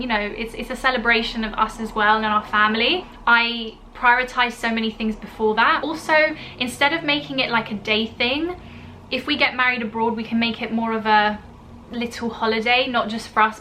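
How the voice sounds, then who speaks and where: Bright-voiced chatty young woman. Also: on the high side, fairly even intonation, normal speed, slight background noise. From born in Italy, grew up in the UK. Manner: chatty, gushing, full of "like" and "you know", acts out quotes. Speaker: vlogger. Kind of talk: casual storytime chat to camera.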